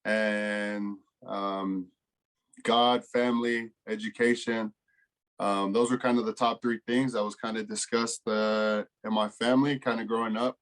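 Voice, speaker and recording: A man in his twenties from California with an American accent, giving casual, laid-back interview answers with frequent pauses. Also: fairly low pitch, little pitch movement. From California, United States. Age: 20s. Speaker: college football player, interview guest.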